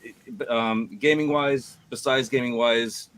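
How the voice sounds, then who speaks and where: Brief: man, deep voice, relaxed guest chat on a game stream. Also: takes it slowly, rough recording. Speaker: voice actor guest. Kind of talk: casual chat.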